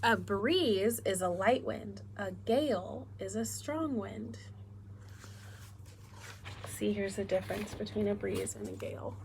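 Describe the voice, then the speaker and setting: A woman's voice: medium pitch, slow pace, background noise. A woman teacher doing a read-aloud lesson for young children, instructive and often asking them questions. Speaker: elementary school teacher.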